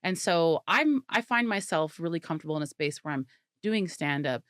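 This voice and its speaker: Brief: woman comedian guest, low voice, animated and chatty, dry close sound. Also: normal speed, smooth, no echo. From California Bay Area. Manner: animated, chatty, laughs often. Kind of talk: casual interview chat.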